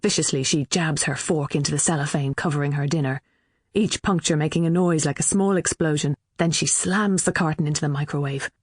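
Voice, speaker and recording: Irish lady reading an audiobook, lowish voice, expressive storytelling, really dry close sound. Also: brisk, slightly rough, no echo. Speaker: audiobook narrator. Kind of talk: scripted fiction narration.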